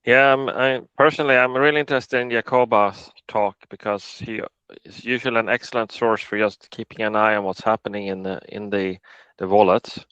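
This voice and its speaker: Man from Sweden, low and smooth, hesitant panel chat, dry, dull lo-fi sound. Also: steady tone, brisk, a bit bright, dry recording. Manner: hesitant, many restarts. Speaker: conference speaker. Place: Netherlands.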